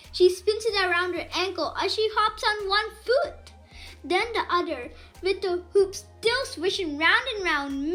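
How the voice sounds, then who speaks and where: A woman reading a children's picture book aloud in an expressive, animated way with dramatic pauses and emphasis. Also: extremely high pitch. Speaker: read-aloud storyteller.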